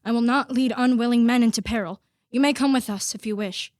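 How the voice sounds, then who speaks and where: A woman's voice: fairly high pitch, brisk, a little mellow, no room sound, clean studio sound, close to an everyday voice. A woman playing a grave, concerned male sea captain in a radio play, with formal, earnest delivery. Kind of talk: theatrical radio play acting.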